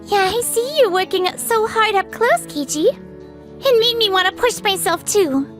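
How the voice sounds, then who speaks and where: Woman acting very high-pitched, earnest young anime businesswoman. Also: expressive, normal speed, soft background music. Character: earnest, easily flustered young businesswoman in love. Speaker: voice actor. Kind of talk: dubbed romantic comedy manga acting.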